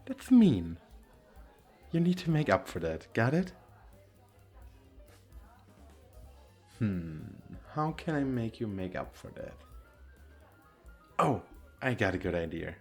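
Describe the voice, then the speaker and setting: Playful femboy flirt played by a man for ASMR roleplay: expressive swooping pitch, slow pace, coy, effeminate, teasing. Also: soft background music. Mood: mock-bossy, teasing. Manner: lilting, effeminate, giggly, coy.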